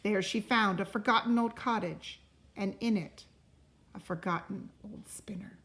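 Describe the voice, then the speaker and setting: A woman reading a storybook aloud to a class as a teacher, in a deliberate, expressive way. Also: mid-range voice. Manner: deliberate and expressive, pausing to question listeners.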